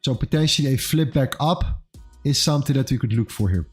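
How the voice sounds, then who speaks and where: A man's voice: medium pitch, a little fast, slightly rough. Upbeat twenties male streamer, casual trading talk, faint music under him. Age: 20s. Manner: upbeat, says "amazing" and "bro" often. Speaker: livestream host and trader. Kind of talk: casual livestream chat and market commentary.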